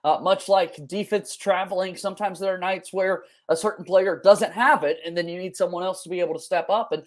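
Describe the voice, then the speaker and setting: Male podcast host for sports coverage. Voice sits high, conversational presenting style. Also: fairly even intonation, brisk. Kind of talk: conversational interviewing and scripted sponsor reads.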